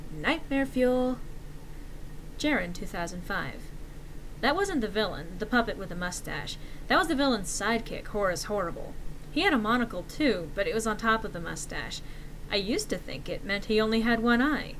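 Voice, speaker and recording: Bright-voiced woman reading horror dramatically. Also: mid-range voice, fairly quick, slight background noise, rough recording. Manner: theatrical, expressive. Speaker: reader of a horror story. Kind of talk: dramatic reading of an internet forum horror story.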